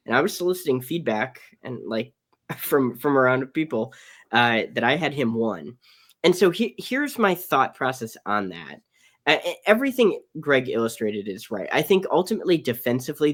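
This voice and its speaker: A man co-hosting a casual baseball podcast over a video call, strained as if losing his voice, talking through his analysis earnestly. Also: medium pitch. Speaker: co-host, prospect analyst. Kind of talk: casual baseball prospect discussion.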